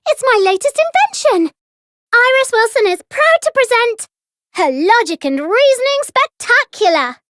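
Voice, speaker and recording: Casting: precocious girl inventor for a video game, tiny high voice, lively and eager. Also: normal speed, dry recording, studio quality. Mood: bright, proud. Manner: chirpy, eager. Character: perky, precocious little British girl inventor.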